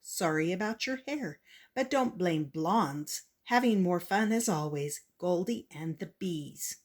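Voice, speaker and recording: A woman's voice: fairly low pitch, lively intonation, slow pace. A woman voicing a teasing, chiding fairy-tale character's letter from a storybook.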